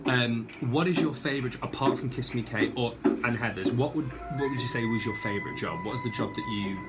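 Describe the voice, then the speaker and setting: Jokey male host over loud show music. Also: medium pitch, a little fast. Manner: jokey, rambling, lots of fillers. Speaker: host, fellow actor. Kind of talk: casual interview chat. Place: theatre dressing room.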